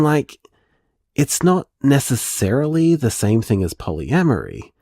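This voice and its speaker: Audio drama boyfriend: candid, casual, very animated pitch, close dry sound. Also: male voice, breathy edge, dry recording, heavily acted. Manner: rambling, casual, conversational. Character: man in long relationship, thoughtful, awkwardly candid boyfriend. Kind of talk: audio drama voicemail monologue.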